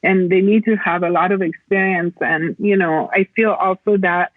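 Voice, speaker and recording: A woman from Italy, explaining reflectively as a podcast guest in a halting, conversational way over a remote call. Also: fairly low pitch, steady tone, no echo, narrow, tinny audio. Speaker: podcast guest, plant medicine practitioner.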